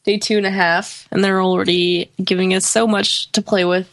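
A woman's voice: mid-range voice, flat delivery, moderate pace, no room sound. A woman co-hosting a casual podcast with playful, dramatic exaggeration. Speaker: podcast co-host. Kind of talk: casual chat.

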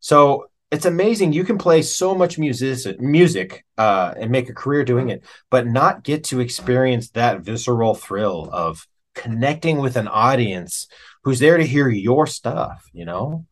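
A man's voice: mid-range voice, animated, unhurried. An American man talking as an interview guest, a working musician speaking in a casual, reflective and candid way, often informal. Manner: informal and candid, with frequent "man" and "you know".

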